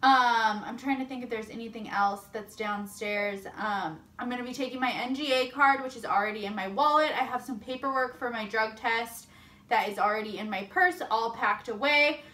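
A woman's voice: fairly high pitch, moderate pace, pushed and bright. A young woman chatting casually to camera as a fitness vlogger, upbeat and informal, recorded in a room at home. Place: indoors at home, kitchen and bedroom. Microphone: camera or phone a short distance away. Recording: roomy echo of home interior.

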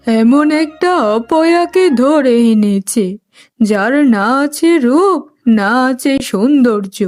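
A woman voicing a harsh, scornful village mother-in-law who speaks coldly and threateningly in an animated moral story. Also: high-pitched. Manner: cold, threatening, slow. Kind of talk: Bengali cartoon moral story dubbing.

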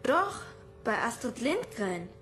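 High-voiced, dreamy little orphan boy played by a woman. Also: sing-song, slow pace, fairly bright tone, faint music behind, exaggerated voice. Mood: hopeful, stubborn. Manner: earnest, insistent. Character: small, dreamy, stubbornly hopeful orphan boy. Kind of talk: radio drama acting.

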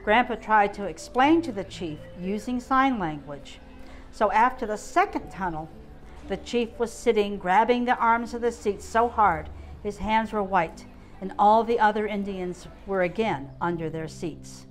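A woman's voice: mid-range voice, measured pace, soft background music. An older woman, around her seventies, reading a written family memoir aloud indoors into a close mic. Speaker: guest reader. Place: indoor gallery room.

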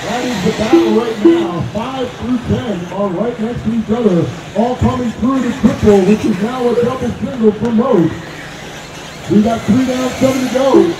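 A man with a loud voice calling driver lineups and lap counts over the PA at an outdoor race track. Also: extremely high pitch, normal speed, over music. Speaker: race announcer. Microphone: PA loudspeaker. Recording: echoey PA heard from afar, noisy.

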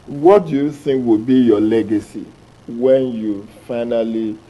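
Nigerian man, middle-aged host, medium pitch, rambling rephrased questions, tv studio. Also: unhurried, mellow, poor audio. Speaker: TV show host. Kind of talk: morning TV interview.

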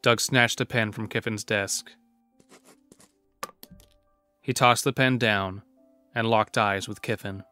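A man's voice: lowish voice, fairly even intonation, measured pace, bright, forceful tone, soft background music. A man narrating a scripted horror story as the narrator of an audio drama.

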